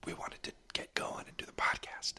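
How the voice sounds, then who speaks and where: A man's voice: normal speed, sharp tone. A man co-hosting a comedy podcast in a whisper, mock-furious and freely swearing. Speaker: podcast co-host.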